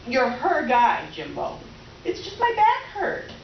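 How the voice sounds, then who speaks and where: Woman acting a very high-voiced, brazen flirt. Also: huge pitch swings, takes it slowly, vocal fry, exaggerated voice. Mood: coy, insistent. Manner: cooing, suggestive, over-dramatic moaning. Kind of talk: comic readers theater acting. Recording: roomy, distant, dull, faintly noisy stage recording.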